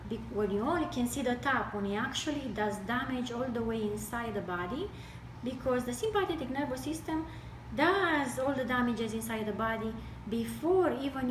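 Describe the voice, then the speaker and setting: A woman with a Romanian accent explains health matters in a fairly high voice. Her phrasing is deliberate and broken into short pieces. She sounds some way from the mic in an echoey room. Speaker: health speaker with medical training. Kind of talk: explanatory health talk to camera. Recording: distant mic, echoey room, noisy background.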